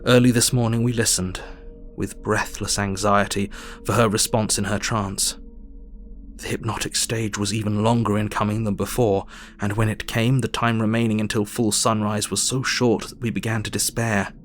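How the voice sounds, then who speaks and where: A man playing an earnest Victorian doctor, narrating his diary in a measured, formal way for an audio drama. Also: fairly low pitch, level tone, close to an everyday voice. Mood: sober, tense.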